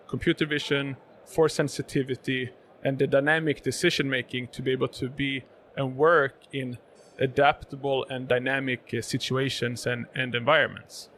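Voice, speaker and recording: A man's voice: mid-range voice, vocal fry, fairly bright tone, a little hiss or hum. A Swedish man whose first language is Swedish, a guest explaining robotics in an interview, with many fillers and repeated words. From Sweden. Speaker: guest, robotics sales manager. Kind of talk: conversational interview answers. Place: live event podcast booth.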